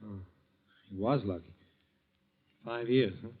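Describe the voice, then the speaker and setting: A man's voice: on the low side, slow pace. Jumpy, defensive young man, old radio drama. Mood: uneasy, evasive. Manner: curt questions, stammering, defensive. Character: anxious, defensive young bank teller, curt and evasive. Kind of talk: 1940s radio acting. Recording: dull, lo-fi 1940s radio recording.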